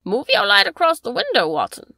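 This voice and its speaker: A woman plays a stern gentleman of rank, voice leaping up and down with command as he presses his servant. The tone is bright and edged with a gravelly fry. The reading is dry and close. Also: mid-range voice, big pitch swings, a little fast, no room sound. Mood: angry. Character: stern indignant gentleman baronet, middle-aged.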